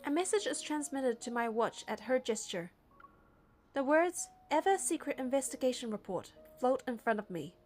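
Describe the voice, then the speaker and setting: A woman's voice: sits high, sing-song, moderate pace, soft background music. A woman reading scripted first-person story narration for a game playthrough.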